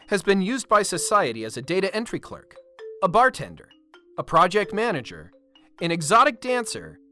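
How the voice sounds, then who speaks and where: Bright, lively wildlife show host. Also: male voice, on the high side. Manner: polished, dramatic announcer delivery. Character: upbeat male wildlife TV show host, mock-earnest. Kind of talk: parody nature documentary narration.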